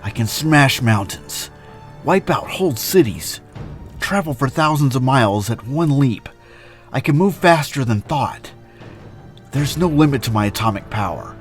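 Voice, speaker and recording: An actor playing a noble, confident atomic superhero in an earnest, declamatory old-time radio drama style. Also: male voice, medium pitch, expressive, a little slow, soft background music, close to an everyday voice. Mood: solemn resolve.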